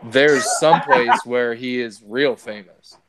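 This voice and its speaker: A man's voice: mid-range voice, slow pace, very noisy. A man in a casual podcast chat who talks in a laid-back, slangy way. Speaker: podcast co-host.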